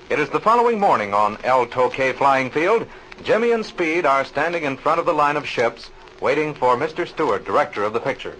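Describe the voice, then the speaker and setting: A man announces an old-time radio serial in scripted narration. His mid-pitched voice moves with lively, wide inflection. The old recording has a faint noise under it. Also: rough recording.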